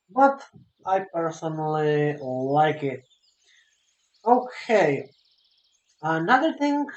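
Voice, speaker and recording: A man, an English speaker, giving a casual, unscripted game review in a halting, repetitive way as he searches for words. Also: sits high, dull audio. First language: a language other than English. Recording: roomy echo, music playing behind. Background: soft guitar game music.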